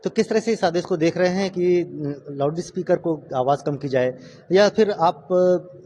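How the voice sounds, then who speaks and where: Man, slightly high mellow voice, news reporter, hesitant questioning, light ambient noise. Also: unhurried. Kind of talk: street interview questioning.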